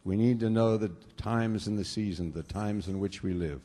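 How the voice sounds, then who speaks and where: A man from the United States preaching a sermon, swinging between quiet talk and loud bursts of fervor with repeated hallelujahs. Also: lowish voice, normal speed, big-room sound. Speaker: pastor.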